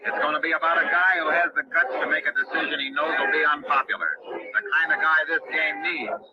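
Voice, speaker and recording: An actor playing an insistent, excitable sportswriter with an American accent in an old-time radio drama. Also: male voice, on the high side, fairly quick, close to an everyday voice. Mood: earnest, excited. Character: insistent, excitable male sportswriter rallying a downcast friend. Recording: very noisy, muffled old radio broadcast.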